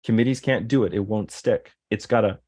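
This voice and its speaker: A man's voice: lowish voice, a little fast, a little mellow. A man in casual conversation, rambling, with many fillers and restarts. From American Deep South. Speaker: guest.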